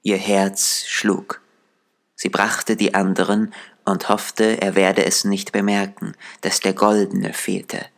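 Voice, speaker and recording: A male storyteller reads a dark fairy tale from a script. His voice is lowish and creaky, and he takes his time, measured and deliberate.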